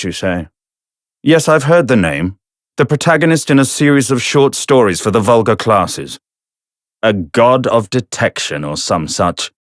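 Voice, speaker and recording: Deep-voiced, haughty prosecutor. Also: male voice, dry recording, studio quality. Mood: scornful. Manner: aristocratic, condescending, measured. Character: haughty, disdainful British courtroom prosecutor. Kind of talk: theatrical video game voice acting.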